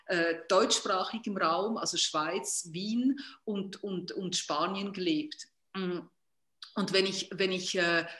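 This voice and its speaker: Echoey, halting Swiss woman. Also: medium pitch, fairly bright tone. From Switzerland. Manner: halting, searching for words, often repeating phrases. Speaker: artist being interviewed. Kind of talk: reflective interview talk. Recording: roomy, echoing sound.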